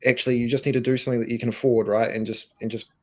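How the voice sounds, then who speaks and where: A croaky-voiced man from New Zealand, a company co-founder, talking emphatically and casually with many restarts. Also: mid-range voice, level tone, very fast, darkish tone. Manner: emphatic, repeats words, restarts. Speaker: co-founder and co-CEO, guest. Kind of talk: casual interview answers.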